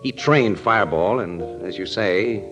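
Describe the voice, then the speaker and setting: An actor playing a breezy, nosy American newspaper photographer in 1940s radio style, slangy and wisecracking. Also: male voice, lowish voice, unhurried, lightly acted. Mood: breezy, curious. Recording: vintage radio drama, music in places.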